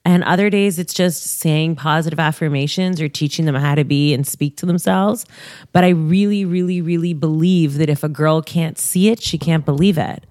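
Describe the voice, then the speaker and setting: A young woman with a low voice talks in an upbeat, motivational way, lifting her pitch when she gives advice. The sound is dry and close. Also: normal speed, a little mellow, no room sound. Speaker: guest. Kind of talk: casual interview talk.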